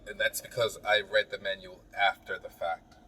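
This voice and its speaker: Joking plumber rambling in a voice-over. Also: male voice, lowish voice, level tone, moderate pace, sharp tone, recorded from a distance, slight background noise, low-quality recording. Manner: rambling, teasing, talks to the viewer. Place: small bathroom.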